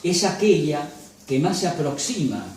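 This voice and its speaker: A man's voice: fairly high pitch, expressive, darkish tone, a little hiss or hum. Formal man lecturing in an echoing hall. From Galicia. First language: Galician. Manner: measured, formal, reads dense theoretical prose. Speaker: lecturer and photographer. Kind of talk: reading a prepared academic lecture. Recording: very echoey hall from a distance.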